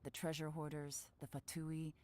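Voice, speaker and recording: An actress playing a shrewd, calm investigator in a video game, speaking in a measured, knowing, deliberate way. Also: female voice, sits low, lightly acted. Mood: composed, analytical. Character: composed, shrewd woman investigator, calm and analytical. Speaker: video game story character. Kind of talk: scripted game dialogue.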